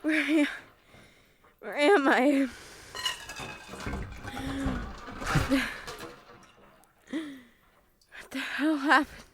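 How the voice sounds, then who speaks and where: A woman playing a dazed, frightened, injured kindergarten teacher in a horror audio drama, speaking in halting fragments. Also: high voice, background noise. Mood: dazed, confused. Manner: halting, breaking sentences into fragments.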